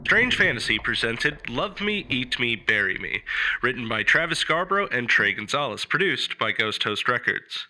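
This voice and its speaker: A man's voice: medium pitch, big pitch swings, moderate pace, sharp tone, rough recording. A man working as an announcer, reading scripted show credits in a formal presentation.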